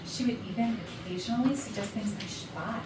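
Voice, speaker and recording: A woman's voice: on the high side, fast talker, natural voice. A woman playing a sarcastic, defensive HR manager suspected of murder in a staged comedy reading. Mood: sardonic. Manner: sardonic, indignant. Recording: echoey, distant, noisy rehearsal recording.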